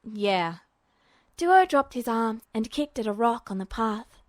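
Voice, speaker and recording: Fiction narrator: woman, fairly high pitch, unhurried scripted read, close dry sound. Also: expressive, dry recording.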